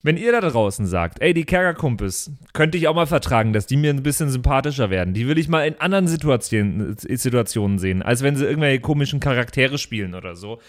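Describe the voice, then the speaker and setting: A man's voice: medium pitch, expressive, quick pace, few pauses, no echo, studio quality. A man chatting casually as a member of a podcast cast. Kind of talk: casual outro chat.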